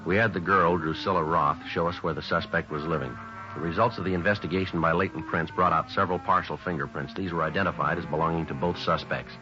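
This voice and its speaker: Male actor as police sergeant, terse, deadpan, fast procedural narration, 1950s radio drama, music in the recording. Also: low voice, highs cut off, lightly acted. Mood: flat, factual.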